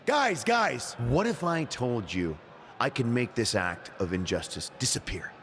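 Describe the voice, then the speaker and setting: A man's voice: medium pitch, expressive, unhurried, fairly bright tone. A man playing a showboating wrestler in a video game, delivering a grandiose, theatrical promo with noise in the background. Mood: slick showmanship. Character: showboating male wrestler pitching matches like movie blockbusters.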